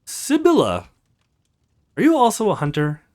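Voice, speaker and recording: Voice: medium pitch, huge pitch swings, normal speed, darkish tone, voice pushed up. A woman voicing a scared, innocent and curious little girl in a visual novel game, with music behind her. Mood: timid, questioning.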